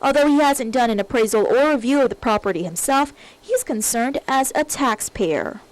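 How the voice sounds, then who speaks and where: A woman with a fairly high voice narrates a scripted television news report. She speaks as a reporter presenting a story to viewers. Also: animated, normal speed, no room sound, rough recording.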